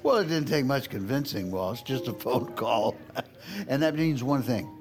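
A man's voice: medium pitch, expressive, moderate pace, over music, natural voice. An actor playing a jovial, hard-drinking male vice president in a period audio drama, with a wisecracking, rambling manner. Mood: jovial, teasing. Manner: chuckling, wisecracking.

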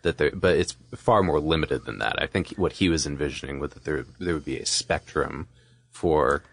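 Deep-voiced American man, podcast co-host. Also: moderate pace, vocal fry, no echo. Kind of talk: casual conversational explainer.

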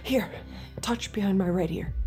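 A young woman with an American accent playing an earnest, secretive android in an audio drama, speaking in a hushed, halting and pleading way. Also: mid-range voice, soft background music, close to an everyday voice. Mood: urgent, pleading. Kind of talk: naturalistic audio drama acting.

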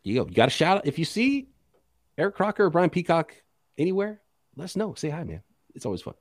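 A man co-hosting a sports podcast, talking casually with an animated, joking delivery. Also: fairly high pitch, fast talker, no echo, clean studio sound.

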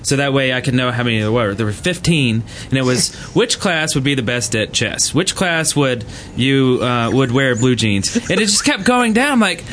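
Male host, medium pitch, informal live podcast banter with light background noise. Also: lively intonation, normal speed, few pauses, fairly bright tone. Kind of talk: casual live podcast chat. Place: convention, in front of a live audience.

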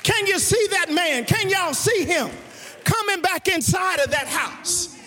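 A man preaching a sermon in a shouted, chanted cadence, often repeating his words as he builds. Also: extremely high pitch, expressive, a little slow, some chatter behind. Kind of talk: whooping sermon. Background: organ music.

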